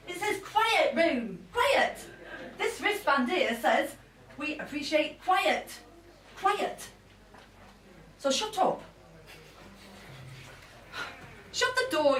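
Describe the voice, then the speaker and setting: A woman's voice: high voice, a bit bright, heavily acted. A woman playing an irritable, aggrieved middle-aged wife in a live comic monologue, complaining indignantly. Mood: irritated, snappish. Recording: roomy live event, distant mic.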